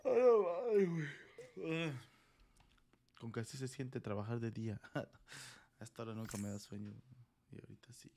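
A man's voice: medium pitch, lively intonation, normal speed, long pauses, mellow. A man with a Mexican accent chatting casually as he streams a game. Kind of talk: casual chat while gaming. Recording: clearly noisy mic with clicks.

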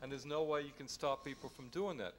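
A middle-aged man speaking as a panelist in a hotel conference room, conversational and quick to push back, into a table mic. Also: mid-range voice, a bit bright, a little hiss or hum. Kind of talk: panel discussion. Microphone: table mic on a stand.

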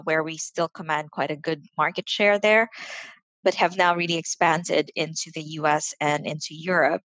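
A woman's voice: lowish voice, fairly bright tone, no echo, rough recording. A woman in her thirties from the Philippines, with an international school accent, chatting as a guest on a video call over a headset. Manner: chatty, laughs often, restarts words. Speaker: guest, head of marketing enablement. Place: home room.

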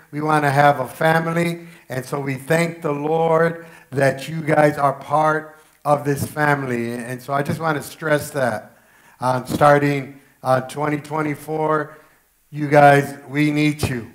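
A pastor in his forties addresses his church through a headset mic. His voice is raspy and strained, pitched a little high for a man. He repeats key phrases earnestly for emphasis. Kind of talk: church announcements and sermon. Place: church stage with pink-lit wall.

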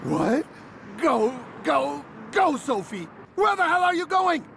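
An actor playing an excitable driving instructor who coaxes and then yells at his student, with music underneath. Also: high-pitched, a little slow, noisy background, full character voice. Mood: furious. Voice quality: shouting, exasperated. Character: excitable male driving instructor, quick-tempered.

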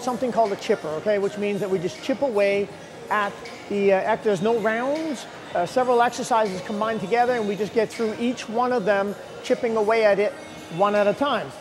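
Man in his forties, upbeat fitness coach, lapel mic, big gym, faint music behind. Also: high voice. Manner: energetic, encouraging, loose and unscripted. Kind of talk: workout instruction to camera. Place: large indoor gym.

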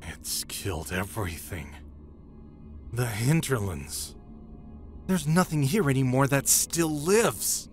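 A man's voice: mid-range voice, expressive, slow, long pauses, faint music behind. A man playing a serious, urgent adventuring hero in a fantasy audio drama. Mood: grim, dismayed.

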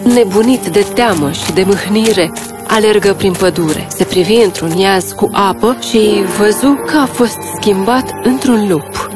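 A woman narrates a fairy tale in a scripted storytelling style. Music plays clearly behind her mid-pitched voice.

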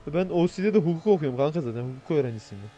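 A slightly high-voiced man rattles along at a quick clip as he plays an online roleplay game. He is brash and casual, full of slang and profanity. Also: animated, dark tone, dull audio, a little hiss or hum. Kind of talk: casual chat while gaming.

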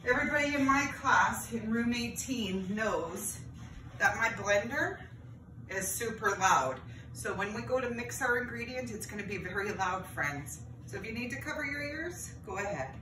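A woman's voice: a little high, lively intonation, moderate pace, bright, forceful tone. Woman teaching kids a cooking class. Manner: friendly, explains step by step while preparing food, calls viewers friends. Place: home kitchen. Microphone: camera across the counter, no visible mic. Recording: roomy, distant camera audio with background noise.

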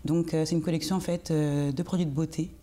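A woman's voice: low-pitched, fairly even intonation, brisk, warm tone. A young woman from France, a guest in a TV studio interview, explaining earnestly with many fillers. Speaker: guest, cosmetics entrepreneur. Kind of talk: interview answers.

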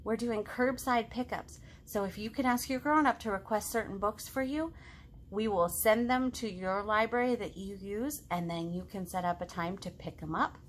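A woman in her thirties hosting a children's storytime, reading and chatting to young kids in an expressive, sing-song, exaggerated way. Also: mid-range voice, moderate pace, slight background noise. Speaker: children's librarian, storytime host. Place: living room at home, indoors.

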